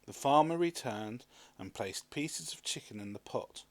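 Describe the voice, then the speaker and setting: Male storybook narrator: middle register, highly animated scripted read, dry studio-like sound. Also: measured pace, no echo. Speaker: storybook reader.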